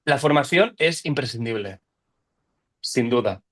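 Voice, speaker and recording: Actor, thoughtful and measured. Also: male voice, mid-range voice, animated, fairly bright tone. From Barcelona, Spain. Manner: thoughtful, explains at length with restarts. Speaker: actor, interview guest. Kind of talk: interview conversation.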